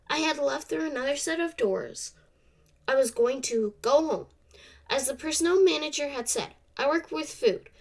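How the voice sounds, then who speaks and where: A young woman hosting a solo podcast, slightly congested from a cold, speaking in a soft, halting way that trails off. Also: high voice. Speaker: podcast host. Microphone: camera off to the side.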